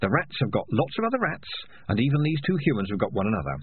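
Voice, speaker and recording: A man's voice: medium pitch, big pitch swings, fast talker, breathy edge, dry recording, narrow, tinny audio, pitched above the performer's own voice. A man voicing a cynical, self-serving talking cat who wheedles and justifies himself. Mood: wheedling.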